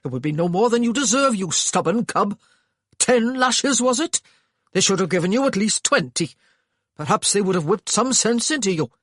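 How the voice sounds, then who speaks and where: A gruff, loud young Welsh man in an audiobook scolds with a husky, lively voice, as the narrator puts him on. He sounds stiff, protective and quick to anger, recorded close and dry. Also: mid-range voice, dry recording, pitched above the performer's own voice. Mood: scolding.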